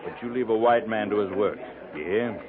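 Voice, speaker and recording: An actor playing a cocksure, sardonic frontier buffalo hunter with folksy western phrasing in a 1950s radio drama. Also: male voice, fairly low pitch, normal speed, a crowd in the background, natural voice. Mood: defiant needling. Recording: tinny, band-limited 1950s radio recording.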